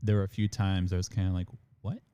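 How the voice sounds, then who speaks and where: A man's voice: deep voice, flat delivery, quick pace, dark tone, clean tone. A man being interviewed on a podcast about his admissions work, talking loosely with many fillers like "like" and "again.". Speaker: international admissions manager, guest.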